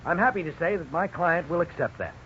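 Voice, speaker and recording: An actor playing a courteous, formal attorney with a measured manner in a 1950s radio drama. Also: male voice, on the high side, lively intonation, close to an everyday voice. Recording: muffled, hissy 1950s radio recording.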